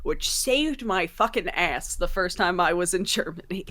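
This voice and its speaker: A woman's voice: fairly low pitch, normal speed. A woman co-hosting a casual podcast, bantering in a jokey, sweary way and telling little stories. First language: French-speaking ability alongside English. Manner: sweary, jokey, animated storytelling with acted quotes. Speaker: podcast co-host.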